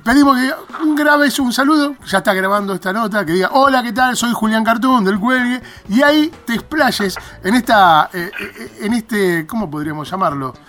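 A man's voice: sits high, moderate pace, few pauses, pushed and bright. A man with a Buenos Aires accent hosting a casual radio interview in an effusive, repetitive, informal way. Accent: Argentine, Buenos Aires. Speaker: radio show host.

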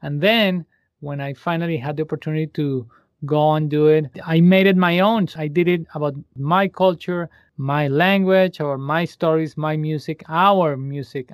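A man's voice: on the high side, no echo, clean studio sound. A man from Colombia with a Colombian accent, a musician talking as an interview guest, friendly and slipping into Spanish phrases. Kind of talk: interview conversation.

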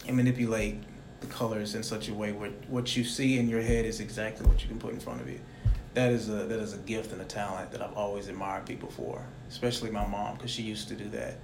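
A man's voice: on the low side, little pitch movement, quick pace, creaky, few pauses, music in the background, poor audio. A young man hosting a casual interview, talking in a rambling, reflective way. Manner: rambling, reflective, soft-spoken.

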